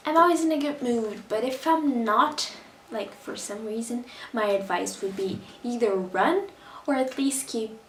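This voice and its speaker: Young brazilian girl vlogging from her room, accent half american half british, highish voice, echoey with a little hiss. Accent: mixed Brazilian, American and British. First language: Portuguese. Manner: chatty, animated, talks with her hands. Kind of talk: casual direct-to-camera vlog.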